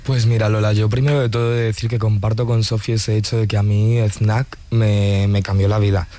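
Spanish guy, kinda low voice, studio guest, talks fluently and with emphasis. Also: flat delivery, a little fast, dark tone, slight background noise. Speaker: interview guest, poet and journalist. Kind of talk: conversational storytelling. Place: radio studio.

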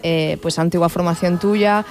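A woman's voice: fairly low pitch, fairly even intonation, moderate pace, darkish tone. A woman interviewing a singer, asking long, winding questions full of fillers.

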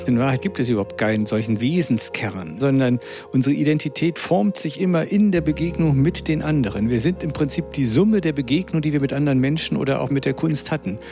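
A philosopher speaking in a measured, thoughtful and articulate way in an interview. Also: male voice, mid-range voice, warm tone, soft background music. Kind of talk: reflective interview answers.

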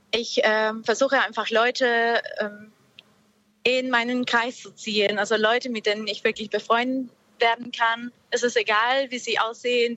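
Lo-fi muffled connection, woman, fairly high pitch, hesitant, reflective, casual guest talk. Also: a bit bright, dry recording. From Peru. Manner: hesitant, searching for words. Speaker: caller, guest on a radio show. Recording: dull, lo-fi connection.

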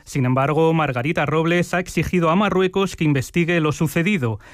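Man, news anchor, formal, measured, slightly high voice, dry booth. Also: dry recording. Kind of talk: scripted news reading.

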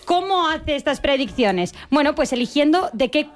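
A woman with an accent from Spain speaks in a high-pitched voice. She sounds conversational, like a show contributor chatting with colleagues and presenting light items. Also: animated, normal speed. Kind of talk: casual radio-style chat.

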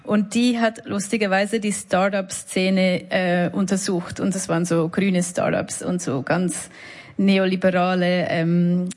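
A woman's voice: fairly low pitch, steady tone, unhurried. A woman with a Swiss German accent, a musician talking in a radio studio interview in a reflective, self-deprecating way, laughing between words. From Switzerland. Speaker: musician guest. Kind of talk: casual interview talk.

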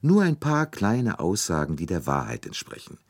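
A man voicing a shrewd, courteous gentleman detective, calm, deliberate and soothing, with bits of French, in an audiobook story. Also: low voice, no echo, clean studio sound, pitched above the performer's own voice, heavily acted.

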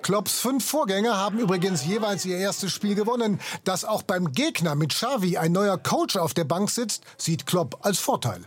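A man's voice: high voice, lively intonation, moderate pace, few pauses. A man narrating a scripted sports report in standard German.

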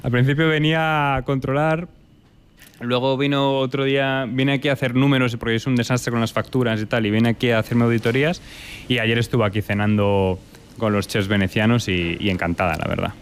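A man from Italy and Spain who runs a restaurant, answering interview questions in a lively way and dropping in Italian food terms. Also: medium pitch, fairly quick, smooth. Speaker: restaurant owner.

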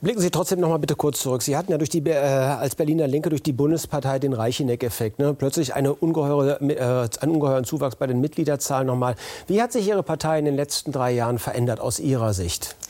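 A man interviews a guest on radio, pressing with pointed questions and small hesitations. His voice is mellow and warm, at an ordinary pitch for a man. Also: fast, few pauses. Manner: probing questions with frequent hesitations.

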